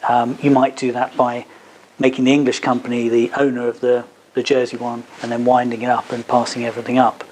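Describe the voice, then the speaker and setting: Male, medium pitch, legal seminar speaker, explanatory, hesitant, hedged phrasing, slight background noise. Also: monotone, a little fast, darkish tone, poor audio. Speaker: advocate giving a legal seminar. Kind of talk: seminar talk answering audience questions. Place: seminar at a business hub.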